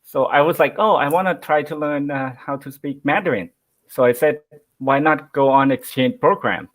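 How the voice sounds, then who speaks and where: A young man from Thailand whose first language is Thai, speaking English as a guest on a live video call, softly and with hesitant pauses. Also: on the high side. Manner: soft-spoken, hesitant. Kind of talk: casual live chat.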